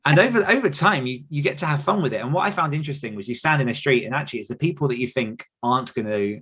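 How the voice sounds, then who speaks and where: A man's voice: mid-range voice, animated, racing, a bit bright, slightly rough. A British man in his thirties talking casually as a podcast guest, chatty and anecdotal in manner. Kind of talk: casual conversational interview.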